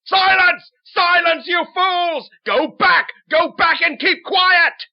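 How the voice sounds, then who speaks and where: A man's voice: extremely high pitch, takes it slowly, voice pushed up, full character voice. A man voicing a tyrannical, hysterical storybook king who rants and shrieks imperiously. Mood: hysterical rage. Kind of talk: dramatic storybook character voice. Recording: dull, band-limited audio.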